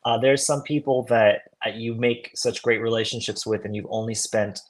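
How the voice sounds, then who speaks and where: A man's voice: medium pitch, steady tone, normal speed. Easygoing male guest singer, friendly casual interview on a video call.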